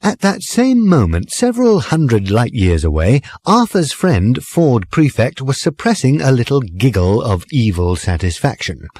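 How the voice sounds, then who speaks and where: A man reading comic fiction as a measured, expressive audiobook narrator. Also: medium pitch, husky, no room sound. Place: dry studio.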